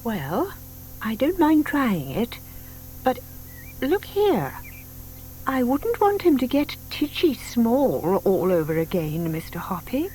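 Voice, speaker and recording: A woman's voice: a little high, very animated, slow, darkish tone, low-quality recording. An actress playing a genteel, doting older British lady in an audio drama, gushing, fussing and polite. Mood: trusting, hesitant.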